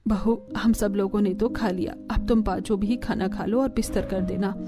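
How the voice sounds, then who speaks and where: A woman's voice: mid-range voice, fairly even intonation, moderate pace, over music, lightly acted. A woman voicing a scolding older village mother-in-law who is protective and exasperated, in a family cartoon. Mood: weary complaint. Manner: scolding, exasperated, rustic idioms. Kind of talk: Hindi family cartoon voice acting.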